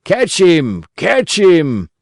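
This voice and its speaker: Storybook old father, a woodcarver played by a male narrator: exasperated, exclamatory, very animated pitch, dry close sound. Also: drawn-out words, gravelly, no echo, exaggerated voice. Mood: angry shouting. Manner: exclamatory, scolding and lamenting. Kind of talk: storybook character voice in audiobook.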